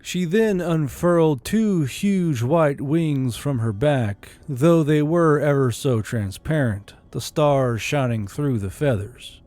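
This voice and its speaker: A man's voice: fairly high pitch, slow, few pauses, darkish tone, no echo. A man reading scripted fantasy fiction as an audiobook narrator.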